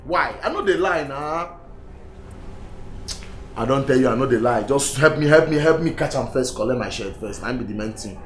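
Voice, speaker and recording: A man's voice: medium pitch, big pitch swings, fairly quick, fairly bright tone, slight background noise. A man with a Nigerian accent playing an evasive young boyfriend in a Pidgin comedy-drama, stammering and whining his innocence. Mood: pleading. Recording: echoey room, music behind.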